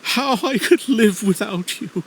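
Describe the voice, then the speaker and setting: An actor playing an obsessive middle-aged man pining for his lost love in a video game, emotional, halting and pleading. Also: high-pitched, a little hiss or hum. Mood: anguished devotion. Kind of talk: dramatic video game voice acting.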